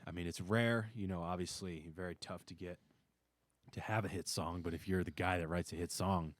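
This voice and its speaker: A man chatting casually as a musician guest on a podcast, with a hesitant, halting delivery. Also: low-pitched, fairly even intonation, no echo. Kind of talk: casual chat.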